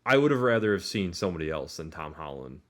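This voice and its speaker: A man's voice: low-pitched, big pitch swings, fast, fairly bright tone. A man co-hosting a podcast, talking informally in a casual discussion and hedging his points as he goes. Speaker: podcast co-host.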